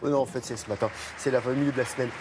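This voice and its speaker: A man's voice: medium pitch, steady tone, rapid-fire, a little hiss or hum. A man presenting sports results on morning TV, stumbling over names and joking about it.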